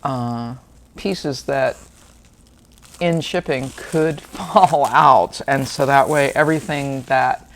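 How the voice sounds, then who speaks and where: A woman's voice: very deep voice, takes it slowly, a little hiss or hum. A woman, hosting a book channel and chatting casually through an unboxing with fillers and small laughs. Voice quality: slightly gravelly.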